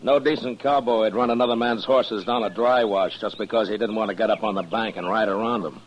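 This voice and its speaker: A man's voice: fairly low pitch, fairly even intonation, brisk, highs cut off, close to an everyday voice. An actor playing a stern, suspicious frontier lawman in a radio western, terse, dry and commanding. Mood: probing, dry. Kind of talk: 1950s radio western acting. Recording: noisy old-time radio recording.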